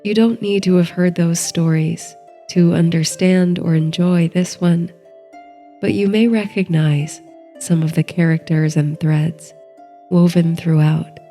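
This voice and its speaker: Female sleep-story narrator: very slow, mellow, gentle and soothing, over light music. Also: fairly low pitch, steady tone. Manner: gentle, unhurried, measured. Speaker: host, sleep story narrator and meditation guide. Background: soft background music.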